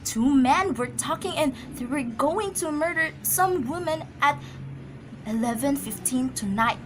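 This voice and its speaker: A woman's voice: high-pitched, animated, unhurried, noisy background. A woman acting an anxious, imperious, easily flustered wife in an amateur radio drama, agitated and stammering.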